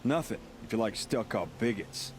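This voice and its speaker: A man's voice: fairly low pitch, lively intonation, normal speed, a little hiss or hum, lightly acted. An actor playing a blunt, disgruntled male hunter in a video game, speaking in a dry, sardonic way. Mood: bitter. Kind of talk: video game dialogue acting.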